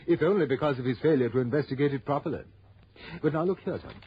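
An actor playing a formal, fussy older British art gallery owner in a vintage radio drama. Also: male voice, mid-range voice, fast talker, lightly acted. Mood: earnest, insistent. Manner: formal, polite, hesitant ums. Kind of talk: 1960s radio drama acting. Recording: dull, noisy old radio recording.

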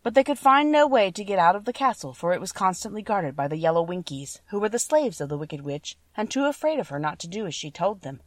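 A woman narrating a children's story from a script in an expressive storytelling manner. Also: medium pitch, quick pace, hardly any pauses, no room sound, far from the mic. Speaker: audiobook narrator.

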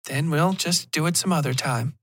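A man's voice: on the high side, normal speed, fairly bright tone, a little hiss or hum, pitched above the performer's own voice, close to an everyday voice. A man voicing a guarded mother in a horror story, answering tersely.

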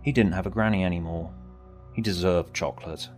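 A man's voice: low-pitched, fairly quick. A man reading a scripted story aloud as its narrator, with music behind him. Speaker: author and narrator.

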